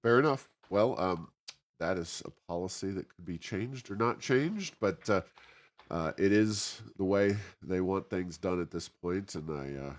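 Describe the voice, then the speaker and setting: Male, American accent, low voice, interview host, hesitant and roundabout phrasing, conversational. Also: expressive, a little slow.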